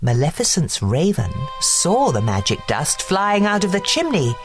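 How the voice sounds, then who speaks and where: Female storyteller for kids' fairy tales, low register, hugely varied intonation, music underneath. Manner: expressive, measured storybook delivery. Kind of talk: scripted children's bedtime story narration.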